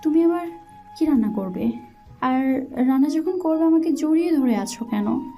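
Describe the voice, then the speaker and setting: A woman's voice: high-pitched, lively intonation, normal speed, dark tone. A woman playing a suspicious, scolding young newlywed wife with a tender side, in an audio love story. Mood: pouting, chiding. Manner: pouting, questioning, chiding. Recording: music bed behind the voice.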